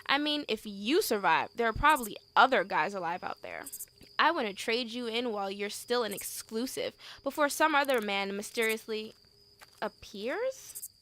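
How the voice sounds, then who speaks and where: Blunt, high-voiced female captor played by an actress. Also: animated, moderate pace, sharp tone, slight background noise. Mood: callous. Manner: blunt, dismissive. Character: callous, starving, mercenary female captor. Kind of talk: audio drama acting.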